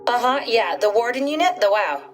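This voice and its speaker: A woman's voice: fairly high pitch, expressive, brisk, lightly acted. Actress doing game character, woman scientist stuck in a machine, matter of fact n wry, echoey with music under. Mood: businesslike. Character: female scientist's mind living in a machine, pragmatic, dry. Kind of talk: video game voice acting.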